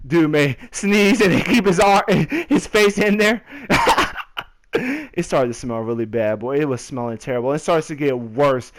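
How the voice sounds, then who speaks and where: A man's voice: on the high side, measured pace. A young American man telling a casual story over gameplay in a slangy, conversational way, talking straight to his listeners. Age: young adult. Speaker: gaming video storyteller.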